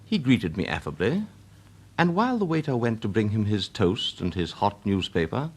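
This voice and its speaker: A Victorian English gentleman narrator in a radio drama. His low voice is husky and moves with lively inflection, yet he stays measured, courteous and faintly dry. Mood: measured storytelling. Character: polite, unhurried Victorian gentleman narrator, dryly amused. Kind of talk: British radio drama acting and scripted narration.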